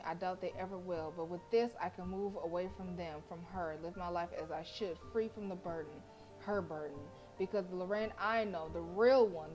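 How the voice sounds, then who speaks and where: A woman game streamer reading story narration aloud in an expressive, dramatic way, with casual delivery between passages. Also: mid-range voice. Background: game music.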